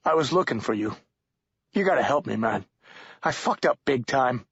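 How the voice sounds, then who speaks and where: A man voicing a frightened, desperate male drifter in a storybook, pleading and agitated in slangy speech with a husky voice. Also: fairly high pitch, animated, unhurried, no echo, muffled recording, pitched above the performer's own voice.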